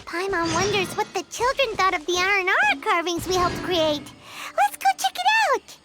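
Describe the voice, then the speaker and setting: A woman voicing a small, chirpy female companion sprite in a game, excitable and speaking of herself by name. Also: very high voice, moderate pace, loud music behind the voice. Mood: eager. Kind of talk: game voice acting.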